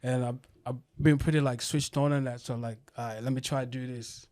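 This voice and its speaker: A young man who grew up between Uganda and Australia, talking in a loose, streetwise way. He keeps dropping "you know what I mean" between his thoughts, and his voice sits at an ordinary middle pitch. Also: fairly even intonation, fairly quick, darkish tone. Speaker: guest, event promoter. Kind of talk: casual podcast chat. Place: podcast studio.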